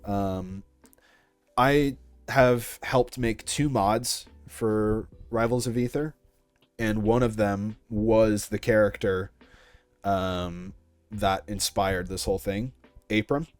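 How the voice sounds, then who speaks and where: A young man in his twenties with a fairly low voice, talking casually as the guest in an interview. He is hesitant and thinks aloud. The sound is close and dry, with faint music behind him. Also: fairly even intonation, slow, smooth, no echo. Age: 20s. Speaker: creator being interviewed about an audio project.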